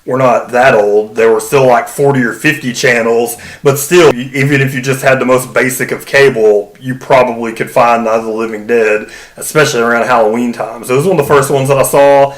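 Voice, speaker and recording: Male co-host, mid pitch, rambling casual delivery, echoey room sound. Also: steady tone, fairly quick, hardly any pauses. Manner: rambling, full of fillers like "you know" and "like". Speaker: podcast co-host. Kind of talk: casual podcast chat.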